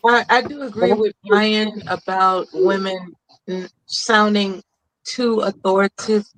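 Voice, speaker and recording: A woman's voice: medium pitch, steady tone, unhurried, a bit bright, dry recording, poor audio. Commanding woman on a discussion panel. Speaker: call-in panelist.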